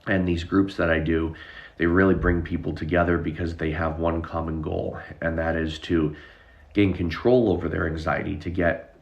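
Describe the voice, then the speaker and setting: Very deep-voiced nasal man. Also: level tone, moderate pace, vocal fry, dull audio. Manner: rambling, many fillers and restarts. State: nasal from a chest cough. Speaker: anxiety relief therapist and hypnotist. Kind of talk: casual live-stream talk to viewers.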